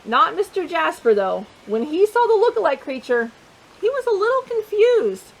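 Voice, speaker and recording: A woman with a high voice reads a children's picture book as a storytime host. She is expressive in her delivery and often steps out of the text to chat or put questions to her young listeners. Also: moderate pace, low-quality recording. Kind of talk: read-aloud children's storytelling with chatty asides.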